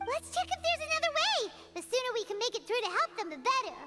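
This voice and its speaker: A tiny female fairy sidekick from a video game. The voice is extremely high, squeaky and childlike, swooping eagerly through every line. Music plays clearly behind it. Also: very animated, fast. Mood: alarmed, urgent. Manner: exclaiming, refers to self by name. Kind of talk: video game character acting.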